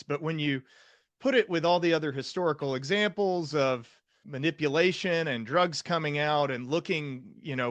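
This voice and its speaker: Man, fairly high voice, very dry recording, measured, thoughtful, podcast host interviewing. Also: fairly bright tone, no room sound. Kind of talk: conversational interview.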